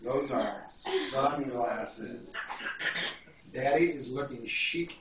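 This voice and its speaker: Man, animated storybook reader, slow and playful, drawn-out words, noisy muffled distant recording. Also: mid-range voice. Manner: playful, drawing out words for a small child. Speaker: priest reading a picture book to a child. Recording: echoey, noisy, muffled home video from afar.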